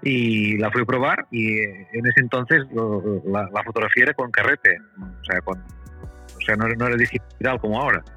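A man's voice: on the low side, moderate pace, sharp tone, breathy edge, faint music behind, rough recording. A man telling a story as a guest, rambling along with stumbling restarts. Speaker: guest photographer. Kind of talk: casual storytelling.

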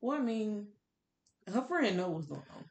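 A woman's voice: mid-range voice, very animated, normal speed, darkish tone. Blunt, opinionated woman podcast co-host. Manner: candid, opinionated, blunt colloquial phrasing. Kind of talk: casual book discussion.

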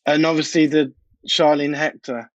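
A man's voice: on the high side, flat delivery, normal speed, no echo, highs cut off. A British man, a DJ and producer, chatting informally and anecdotally as an interview guest. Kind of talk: casual interview chat.

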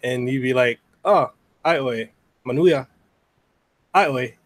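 A man hosting a podcast, a native Samoan speaker from outside the U.S., easygoing and quick to laugh. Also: mid-range voice. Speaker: podcast host. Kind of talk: casual interview hosting.

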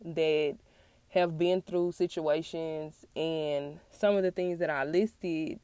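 A woman speaks in a low voice that is raspy and sounds congested after being sick. She addresses her listeners in an earnest personal monologue, pausing often as she goes. The sound is dry, with very little room in it. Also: fairly even intonation, mellow, no room sound. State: getting over a flu-like illness. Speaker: podcast host and author.

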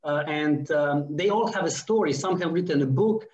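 A man's voice: fairly high pitch, moderate pace, a little mellow. Slovenian-accented male host, roomy lo-fi sound. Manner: earnest, slightly non-native phrasing. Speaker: podcast host. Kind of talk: interview host.

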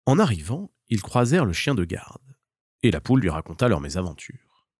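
A man's voice: fairly low pitch, big pitch swings, brisk, a little mellow, husky, dry recording, clean studio sound. A man narrating a scripted children's tale as a storyteller.

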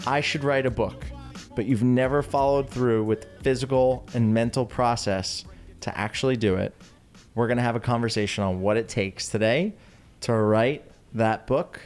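A man's voice: medium pitch, moderate pace, a little mellow. Male podcast host with music behind. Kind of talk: casual podcast interview.

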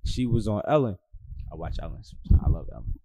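A man, hosting a casual barstool podcast chat, laid-back and swearing freely, with loud laughing asides. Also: fairly low pitch, expressive, quick pace, creaky, no room sound. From Philadelphia. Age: 30s. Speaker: podcast host. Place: small studio.

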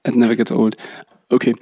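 A man's voice: mid-range voice, dark tone, husky, no echo, clean studio sound. A man with a cold hosting a casual podcast chat, hesitant and full of fillers. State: has a cold. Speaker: podcast host. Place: holiday house.

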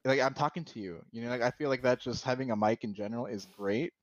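A man's voice: mid-range voice, fast talker, dry recording. Rambling man on a dull headset mic. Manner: rambling with many fillers. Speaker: podcast co-host. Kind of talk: casual chat about streaming.

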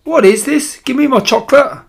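A man voicing a greedy, spoiled young boy in an audiobook, loud, brash and demanding. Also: extremely high pitch, fairly quick, vocal fry, voice pushed up, exaggerated voice. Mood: indignant, demanding. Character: greedy, rude, bossy spoiled rich boy. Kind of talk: audiobook character voice.

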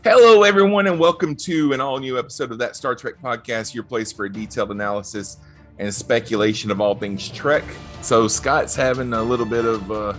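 A man's voice: mid-range voice, a little fast, a bit bright, faint music behind. A man hosting a podcast, chatty and affable as he interviews a guest. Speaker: podcast host. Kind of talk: casual interview chat.

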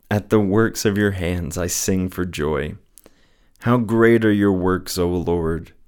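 A man speaks in a deep, soothing voice. His delivery is earnest and gently encouraging, in the reflective style of someone hosting a personal show about faith. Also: clean tone. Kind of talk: casual reflective solo talk with scripture reading.

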